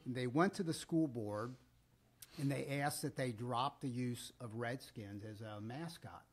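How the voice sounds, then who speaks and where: A middle-aged American man tells a story conversationally as a podcast co-host, deliberately and with pauses. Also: mid-range voice, slightly rough.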